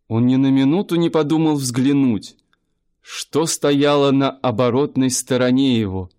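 Brief: male narrator, mid-pitched, measured storytelling for a radio play. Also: a little mellow. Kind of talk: scripted literary narration.

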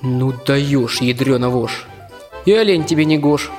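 A man's voice: mid-range voice, unhurried, a little mellow, lightly acted. A man playing a plain-spoken, cheeky peasant soldier in a rhymed fairy-tale radio play. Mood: cheeky, defiant. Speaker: student radio-play actor. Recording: reverberant hall, music underneath.

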